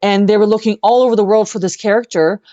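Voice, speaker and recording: A woman's voice: mid-range voice, steady tone, fast talker, warm tone, no echo. A woman tells personal stories as a guest in a casual interview. Her manner is effusive and earnest, and she often repeats words for emphasis. The call audio is dull and dry, with little room sound. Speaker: interview guest, singer-songwriter. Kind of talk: casual chat, personal storytelling. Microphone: video call.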